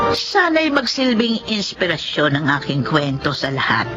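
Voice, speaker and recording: Slow humble older woman, sorry ex-beggar in a radio drama, earnest and pleading, music loud behind her. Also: low voice, exaggerated voice. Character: repentant older woman, former vendor turned beggar, humble and pious. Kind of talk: Tagalog radio drama acting. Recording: dull audio under prominent music.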